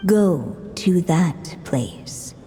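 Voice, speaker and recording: Slow, echoing storyteller. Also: female voice, on the low side, animated, dark tone. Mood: enigmatic. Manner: measured, mysterious. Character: enigmatic, calm storyteller woman reciting a fairy-tale riddle. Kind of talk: storybook narration in a game. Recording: long cavernous reverb, faint noise.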